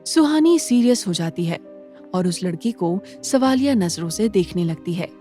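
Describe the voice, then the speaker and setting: A woman's voice: fairly low pitch, lively intonation, normal speed, mellow, soft background music. A woman narrator reading a scripted story in a dramatic storytelling style.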